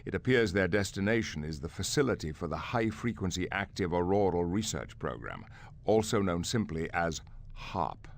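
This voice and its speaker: A man playing a calm older mentor of a hero academy in a game cutscene, speaking formally and with authority. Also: low voice, moderate pace, vocal fry, slight background noise. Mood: grave. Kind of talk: video game cutscene acting. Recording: music behind the voice.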